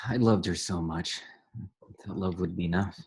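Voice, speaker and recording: A man's voice: deep voice, unhurried, creaky, darkish tone, husky, exaggerated voice. A man acting a sardonic, heartbroken young guy in a table read, in a blunt, teasing, conversational way. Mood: wry sadness.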